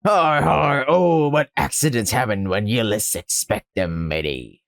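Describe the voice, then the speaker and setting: A man's voice: medium pitch, measured pace, vocal fry, dry recording, studio quality. A man voicing a blustering, menacing barracuda pirate gangster who talks in showy pirate speak with an unsteady accent. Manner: pirate talk with jumping accent and har-har laughs.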